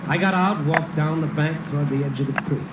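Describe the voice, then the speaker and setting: An actor playing a cynical, slangy newspaper reporter in 1950s radio noir style. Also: male voice, fairly high pitch, brisk, recorded from a distance, natural voice. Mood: wry narration. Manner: wry, slangy, tough-talking. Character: hard-boiled male newspaper reporter, wry and cynical. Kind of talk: 1950s radio noir acting and first-person narration. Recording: noisy, muffled, roomy old radio recording.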